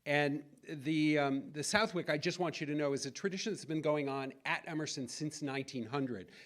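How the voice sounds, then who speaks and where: A man's voice: fairly high pitch, normal speed, fairly bright tone. A man hosting a recital, introducing the presenters in a loose way with frequent ums and restarts.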